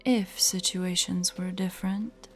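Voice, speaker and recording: Woman acting as a predatory spider-woman, horror roleplay, lowish whispery voice, sinister playful taunting, music underneath. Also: fairly even intonation, measured pace. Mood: smug threat. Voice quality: breathy, whispery. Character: predatory female spider-woman monster, teasing, menacing, possessive. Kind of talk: horror audio roleplay, addressed to the listener.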